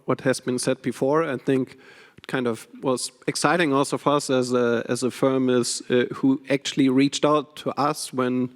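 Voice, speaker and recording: Flat-toned man on a panel. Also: medium pitch. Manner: hedging, "kind of" fillers. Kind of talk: panel discussion. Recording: long reverb of a conference hall.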